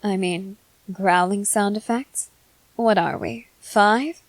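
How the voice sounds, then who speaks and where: A woman voicing a composed, haughty junior high schoolgirl with formal, measured, articulate speech in a scripted ASMR roleplay. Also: no echo. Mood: mocking, amused.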